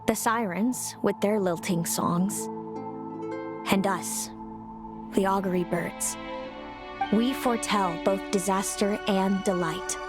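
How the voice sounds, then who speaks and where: A woman's voice: mid-range voice, close to an everyday voice. An actress playing a guarded, curt young woman who speaks of fate with cool reluctance in a game cutscene. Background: game score.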